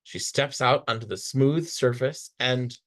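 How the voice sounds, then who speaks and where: A man reading a children's picture book aloud with expressive, dramatic storytelling delivery. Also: mid-range voice, measured pace. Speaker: storybook reader.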